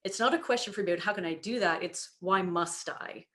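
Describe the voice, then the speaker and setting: A woman speaking earnestly on a panel discussion about children's books, reflective and steady, with frequent "you know" fillers. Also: mid-range voice, brisk. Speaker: children's book creator on a panel.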